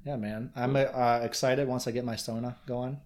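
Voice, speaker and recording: A man's voice: medium pitch, flat delivery, moderate pace, darkish tone. A man from Michigan with a Yooper accent talks as a guest in a casual interview. He is earnest and reflective, circling back and restarting his thoughts as he goes. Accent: Michigan Upper Peninsula.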